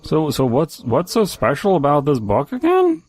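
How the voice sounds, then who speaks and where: A man's voice: fairly high pitch, wildly swooping pitch, normal speed, darkish tone, dry recording. A man acting an awkward drifter with a swooping voice. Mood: unsure. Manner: hesitant, modest. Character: awkward, self-effacing drifter who cannot hold a job. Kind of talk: audio comedy skit dialogue.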